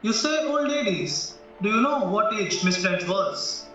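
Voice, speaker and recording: A man acting a shrewd, probing defence lawyer in an audio play, formal and deliberate in his questioning. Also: sits high, expressive, pushed and bright, exaggerated voice. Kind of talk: stage-style courtroom audio drama acting. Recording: very echoey, distant, dull, music behind.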